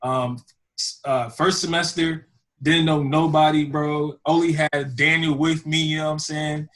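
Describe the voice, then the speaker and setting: A man's voice: fairly high pitch, level tone, measured pace, a bit bright. A young man hosting a podcast, chatting casually and rambling with lots of fillers. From Houston, Texas. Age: young adult. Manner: rambling, full of fillers like "you know". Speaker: podcast host. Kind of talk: casual chat.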